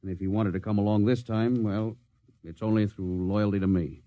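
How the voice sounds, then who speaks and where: A man's voice: on the low side, fairly even intonation, moderate pace, no room sound, muffled recording, natural voice. Man acting a commanding spaceship captain, close and dry. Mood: regretful, fond. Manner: clipped, commanding. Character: stern middle-aged spaceship captain, gruff but fond of old crew. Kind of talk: 1950s radio drama acting.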